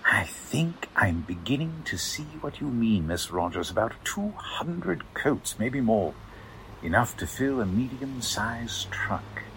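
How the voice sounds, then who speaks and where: A man's voice: medium pitch, very animated, takes it slowly, a bit bright, exaggerated voice, low-quality recording. A man acts out a fussy company clerk in a forties radio drama. He is prim, courteous and hesitant, his intonation swinging widely. Faint ambient noise sits behind him. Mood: hesitant, polite. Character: fussy, formal, hesitant male chief clerk. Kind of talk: 1940s radio drama acting.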